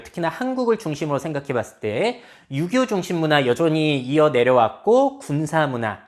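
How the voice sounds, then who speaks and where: A woman lecturing on social change, explaining step by step and asking her audience rhetorical questions. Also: on the low side. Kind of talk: lecture on future trends.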